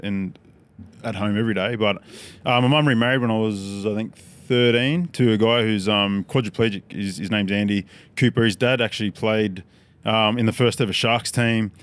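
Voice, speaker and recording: An Australian man in his thirties, a podcast guest telling his story in a relaxed, rambling way. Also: fairly low pitch, clean tone. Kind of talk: casual chat.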